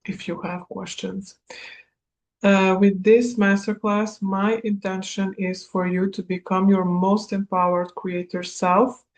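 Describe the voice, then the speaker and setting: A woman in her thirties from Serbia, a native Hungarian speaker, giving a recorded spiritual coaching class in a measured manner. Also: lowish voice, rough recording. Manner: slow, measured, with small laughs. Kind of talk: recorded masterclass talk.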